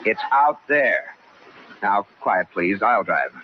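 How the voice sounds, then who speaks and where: An actor playing a long-suffering, easily exasperated suburban husband in a 1940s radio sitcom, with dry, clipped sarcasm. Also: male voice, on the low side, expressive, slow. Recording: muffled, noisy 1940s radio.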